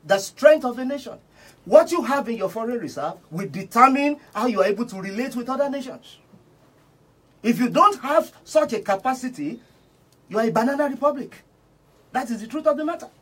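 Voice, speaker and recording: Husky, high-voiced Nigerian man arguing emphatically. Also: lively intonation, a little fast, a bit bright, low-quality recording. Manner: emphatic, repeats phrases for effect. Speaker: studio guest. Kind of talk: impassioned political argument on radio. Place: radio studio.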